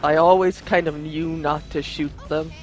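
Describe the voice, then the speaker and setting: Man, fairly high pitch, casual gaming commentary, conversational, faint music behind. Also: fairly even intonation, moderate pace. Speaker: let's play commentator. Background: game music.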